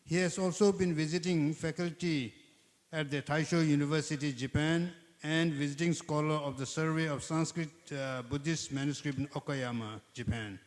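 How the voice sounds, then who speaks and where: A man's voice: fairly high pitch, fairly even intonation, moderate pace. A man moderating a conference session, formally introducing the next speaker and reading out his credentials with frequent hesitations. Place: large conference hall. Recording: long hall reverb.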